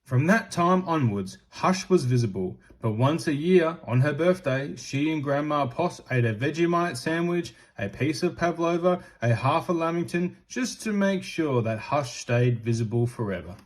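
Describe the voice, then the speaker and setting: A man reads a children's storybook aloud in expressive narration. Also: a little high, normal speed, hardly any pauses. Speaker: storybook reader.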